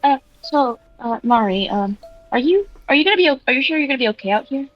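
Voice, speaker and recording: A woman playing a tabletop role-playing game, improvising her character's actions and lines with halting, hesitant phrasing. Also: high-pitched, animated, muffled recording, faint music behind. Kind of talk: casual improvised role-play narration.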